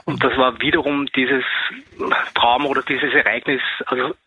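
A man, a caller speaking earnestly and haltingly, often repeating himself. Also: mid-range voice, flat delivery, bright, forceful tone, husky, no echo. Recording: phone line.

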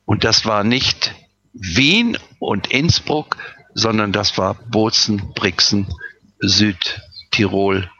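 Deep, husky older man, hesitant. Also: takes it slowly, sharp tone. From Westphalia, Germany. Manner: hesitant, with frequent "äh" fillers and restarts. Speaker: person telling his life story. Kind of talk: personal recollection, unscripted. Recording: slightly dull lo-fi recording.

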